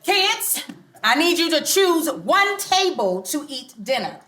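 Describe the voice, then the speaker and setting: A pushy, competitive sitcom mother, played by a woman. Her voice is high and very animated, with slow delivery in a roomy stage space. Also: exaggerated voice. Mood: coaxing. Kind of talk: sitcom table read before live audience.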